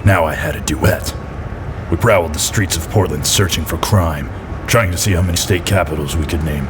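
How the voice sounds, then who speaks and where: A low, deadpan detective voice acted by a man, grandiose yet bumbling, in a hardboiled comedy audio drama. He sounds like a dim gumshoe who thinks he is the best, over clear background noise. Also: moderate pace, lightly acted. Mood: deadpan narrating. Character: deluded, dim, self-important male private detective. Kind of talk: hardboiled detective parody narration and dialogue. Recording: rough, clearly noisy background.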